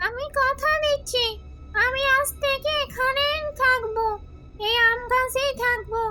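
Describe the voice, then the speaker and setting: A woman's voice: extremely high pitch, steady tone, unhurried, music in the background. A woman voicing a vengeful ghost witch in a horror cartoon, with a menacing, taunting delivery. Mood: bitter, vowing revenge. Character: vengeful female ghost witch haunting a mango tree. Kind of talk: exaggerated horror cartoon acting.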